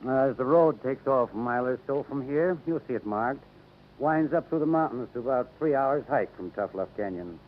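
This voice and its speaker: Narrow, faintly noisy old radio sound carries an actor as a wary American male rancher. He talks gravely and plainly at a middling pitch, in 1950s radio drama fashion. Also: lively intonation, moderate pace, close to an everyday voice. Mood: grave warning. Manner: hesitant, plainspoken, cautioning.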